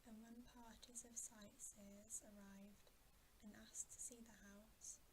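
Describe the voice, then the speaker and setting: A woman reading a story in ASMR style, in a breathy, unvoiced whisper with a gentle, measured delivery. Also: a little high, level tone. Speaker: narrator of bedtime stories. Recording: faint background hiss.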